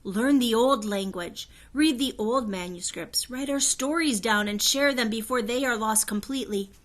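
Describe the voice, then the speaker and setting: A woman voicing a regal fairy queen in a children's storybook, proud and solemn, with a high, clear, stately voice. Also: moderate pace, close to an everyday voice. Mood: earnest appeal. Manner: stately, declamatory. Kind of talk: children's storybook read-aloud.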